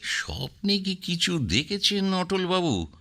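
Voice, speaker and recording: An eager man in a radio play who keeps probing with questions. His voice is very bright and tense, with a lively, shifting pitch. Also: takes it slowly, heavily acted.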